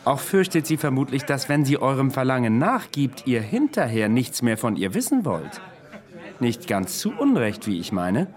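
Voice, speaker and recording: An actor playing a wry, ancient immortal man recounting his past in a measured way, in a radio drama. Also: mid-range voice, sing-song, natural voice. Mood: reasoning.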